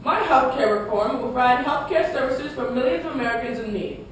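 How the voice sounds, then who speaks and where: A woman's voice: lively intonation, brisk. A woman playing a confident male president giving scripted campaign statements in a puppet show debate. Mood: earnest. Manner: declarative campaign speechmaking. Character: male US president, confident candidate defending his record. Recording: echoey room, distant mic, rumbling noise.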